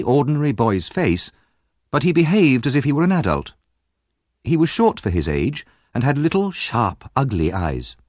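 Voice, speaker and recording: A man narrating a story as a scripted audiobook, in a measured and expressive storytelling manner. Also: mid-range voice, no echo, studio quality.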